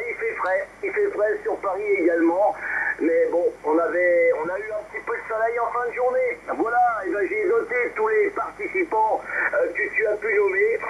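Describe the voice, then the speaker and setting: A high-pitched male voice comes through a muffled radio link with clear noise. The man is an amateur operator making a casual check-in and chatting. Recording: muffled, noisy shortwave radio reception.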